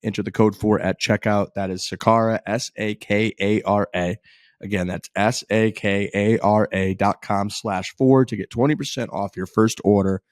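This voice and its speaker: A man's voice: lowish voice, steady tone, normal speed, no room sound, clean studio sound. A man from Missouri co-hosting a golf podcast, joking and cursing in loose banter. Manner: joking, profane, teasing. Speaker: podcast co-host.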